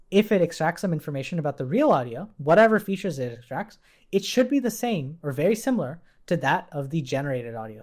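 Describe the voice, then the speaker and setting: Man, programming instructor, animated pitch movement, informal tutorial delivery, thinking out loud. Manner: informal, thinking aloud while typing. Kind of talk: casual coding tutorial narration.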